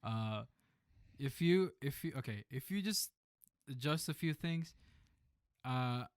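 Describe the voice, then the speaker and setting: A man's voice: mid-range voice, takes it slowly, no room sound. A man with an ordinary mid-range voice speaks casually on a podcast, confident in his opinions but filling gaps with "like" and "uh". The sound is close and dry. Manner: relaxed and opinionated, hedging with "like" and "uh". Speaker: podcast co-host. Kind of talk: casual chat, movie review.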